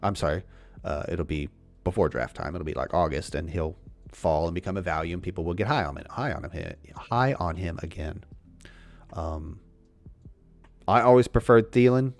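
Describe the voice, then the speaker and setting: A man's voice: sits low, moderate pace, a little mellow, faint music behind. A man hosting a casual fantasy football livestream, thinking aloud with frequent restarts. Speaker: fantasy football show host.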